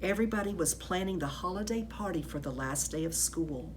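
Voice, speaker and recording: A woman reading a children's story aloud in an expressive, deliberate, dramatic way. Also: fairly low pitch, background noise. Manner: dramatic, deliberate phrasing, drops into whispers. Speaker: school staff reader of children's books.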